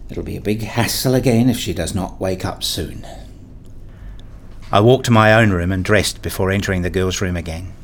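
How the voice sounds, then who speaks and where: A man reading scripted first-person narration for a radio play in a measured, understated, literary manner. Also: low voice, a little hiss or hum. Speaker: narrator and lead character, a father.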